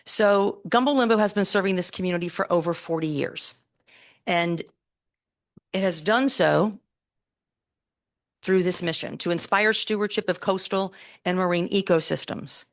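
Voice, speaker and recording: Woman, low-ish voice, loud, emphatic, presenting at a public meeting, podium microphone. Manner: loud, emphatic speaker with deliberate pauses. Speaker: city recreation staff presenter.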